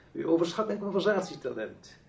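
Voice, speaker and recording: An actor playing a sly, menacing gentleman who speaks with theatrical, teasing courtesy in a Dutch radio drama. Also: sits high, gravelly, slightly rough, heavily acted. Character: sly, menacing foreign gentleman lurking in the dark.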